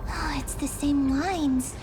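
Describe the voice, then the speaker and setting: A tiny, excitable fairy-like game mascot, with an extremely high, squeaky, childlike voice. The delivery is bubbly but unhurried, with music playing behind it. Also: female voice. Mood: curious. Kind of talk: video game voice acting.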